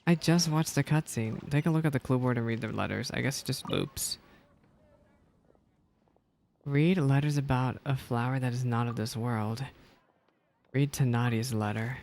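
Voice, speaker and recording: Creaky-voiced man streaming a game. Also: mid-range voice, fairly even intonation, moderate pace, pauses often, a little hiss or hum. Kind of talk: casual gameplay reaction commentary. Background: soft video game music.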